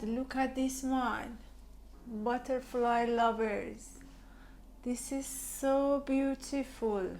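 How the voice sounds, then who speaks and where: Slow, enthusiastic woman with a Persian accent. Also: fairly high pitch, mellow, slight background noise. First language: Farsi. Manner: enthusiastic, gushing, non-native phrasing. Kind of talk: casual product showcase.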